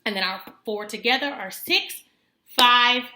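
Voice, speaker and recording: A woman's voice: fairly high pitch, a little slow, pushed and bright. A woman in her thirties talking to camera in an earnest, chatty way, with many fillers and self-corrections. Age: 30s. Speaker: homemaker vlogger. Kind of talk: casual talk to camera. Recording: echoey room.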